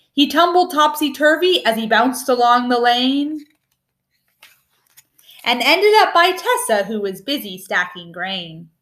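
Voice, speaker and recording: High, very animated woman reading aloud. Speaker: storybook reader. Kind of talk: animated rhyming picture-book read-aloud.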